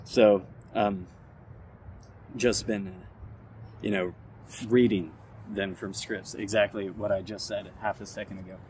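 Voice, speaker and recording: A man's voice: fairly low pitch, level tone, measured pace, long pauses, darkish tone, distant mic, a little hiss or hum. A man telling a comic story aloud, reading dramatically with informal asides and stumbling self-corrections.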